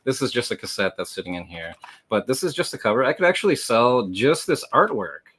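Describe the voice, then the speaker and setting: A man hosting a livestream, explaining things casually in a meandering way with lots of hesitant filler pauses. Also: mid-range voice, very animated, fairly bright tone, breathy edge. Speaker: livestream host and reseller.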